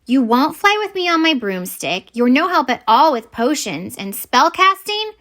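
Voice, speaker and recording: A woman voicing an exasperated but eager young girl witch in a children's picture book, expressive and exclaiming. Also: sits high, normal speed, voice pushed up. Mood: frustrated.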